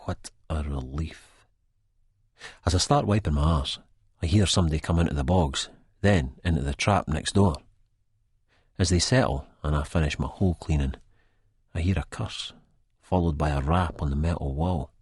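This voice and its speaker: An actor playing an anxious Scottish man in a first-person audiobook, with a deep, husky voice. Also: moderate pace, pauses often, a little mellow, no echo, clean studio sound, exaggerated voice. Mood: wry, relieved. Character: anxious Scottish man narrating in sheer terror of an old enemy.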